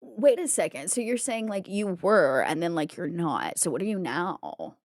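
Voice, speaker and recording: A woman's voice: fairly low pitch, very animated, normal speed, dry recording, studio quality, voice pushed down. A woman voicing a ditzy, bubbly young female vampire with a valley-girl manner and constant "like" in a tabletop roleplay. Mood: confused. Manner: filler 'like' heavy, exclamatory.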